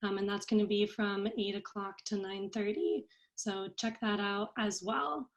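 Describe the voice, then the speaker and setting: A woman's voice: medium pitch, steady tone, unhurried. A woman hosting an online arts event, giving informal announcements and thanks. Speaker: event host for an arts organization.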